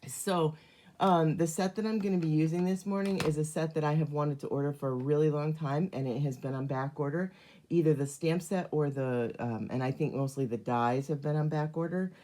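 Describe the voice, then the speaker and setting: A hoarse middle-aged woman hosts a relaxed live craft stream. Her voice sits very low and sounds warm and mellow. She rambles in a friendly way with plenty of ums. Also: brisk. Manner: chatty, many ums, greets viewers by name. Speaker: card-making demonstrator hosting a live stream. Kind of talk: casual live craft demonstration.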